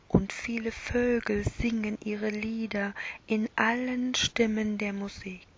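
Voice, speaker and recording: A woman voicing a gentle little talking toy lamb in a soft whisper. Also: mid-range voice, vocal fry, slight background noise. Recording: dull lo-fi recording.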